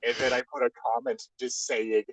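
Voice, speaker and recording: A man's voice: mid-range voice, little pitch movement, brisk, background noise. A man co-hosting a podcast, chatting casually and joking in a wry way over an online call. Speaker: podcast co-host. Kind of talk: casual joking group chat.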